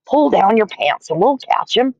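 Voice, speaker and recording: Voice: medium pitch, animated, moderate pace, gravelly, no room sound, dull audio, voice pushed up. A reader voicing a group of grown male cooks mocking their colleague. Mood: jeering.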